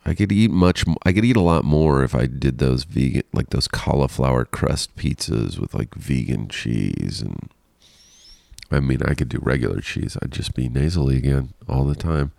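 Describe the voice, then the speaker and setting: A man's voice: a bass voice, moderate pace, vocal fry, darkish tone, dry recording. A man hosting a casual solo podcast, rambling and self-deprecating with frequent laughs, sounding congested and nasal. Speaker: podcast host.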